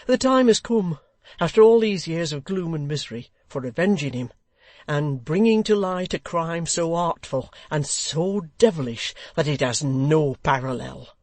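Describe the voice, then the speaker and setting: A woman voicing a grave, earnest gentleman who presses his plea with solemn urgency. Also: low-pitched, lively intonation, a little slow, no room sound, pitched above the performer's own voice. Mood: urgent. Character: grave, urgent middle-aged gentleman seeking justice.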